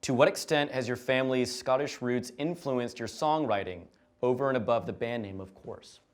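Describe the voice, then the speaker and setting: A man's voice: medium pitch, normal speed. Teasing man hosting a live Q&A. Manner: teasing, laughing, reads out questions. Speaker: Q&A host. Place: concert venue stage.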